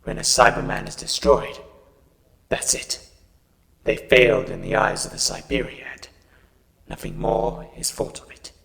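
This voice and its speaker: Dying robot cyborg man, harsh buzzy filtered voice, super slow n sad, big reverb w music. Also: mid-range voice, gravelly, sharp tone, full character voice. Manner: slow, halting, solemn delivery in short phrases. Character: dying Cyberman, damaged male cyborg, mournful and pleading. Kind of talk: fan audio drama acting. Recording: long reverb, music underneath.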